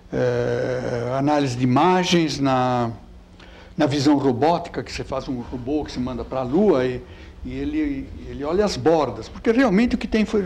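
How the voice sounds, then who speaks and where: An older man born in Italy, with a light Italian accent, giving a physics lecture with slides in a chatty, halting way full of fillers. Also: mid-range voice, darkish tone, slight background noise. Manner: halting, many fillers and repeated words; chatty, keeps asking "né?".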